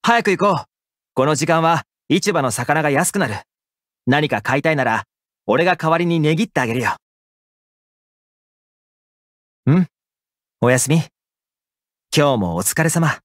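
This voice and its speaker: Casting: male actor as young male game housekeeper, caring and upbeat, fairly high-pitched, lively intonation, dry studio sound. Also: normal speed, long pauses, dry recording, close to an everyday voice. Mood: cheerful, caring. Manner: casual, gently attentive, expressive. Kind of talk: Japanese anime game character voice lines.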